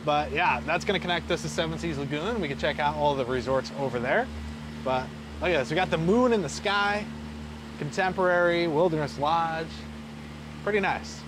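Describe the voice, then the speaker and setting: A man's voice: a little high, normal speed. Easygoing man chatting over outdoor hum. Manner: joking, easygoing. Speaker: vlog companion and guide. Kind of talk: casual chat, pointing out sights. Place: outdoors on a boat on a lake. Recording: outdoor recording with motor hum.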